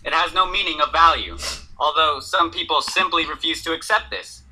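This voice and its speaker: A man's voice: on the high side, normal speed, pushed and bright, husky, heavily acted, poor audio. A man reading as a solemn narrator in an anime fandub script. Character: solemn narrator of urban legends and an unfair world.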